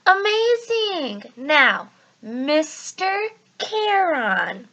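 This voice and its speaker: A woman voicing a sly, condescending male ferryman of the dead in a storybook, with an affected British accent and drawn-out, smooth emphasis. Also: high-pitched, sing-song, pushed and bright, muffled recording, pitched above the performer's own voice, heavily acted. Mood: mock encouraging.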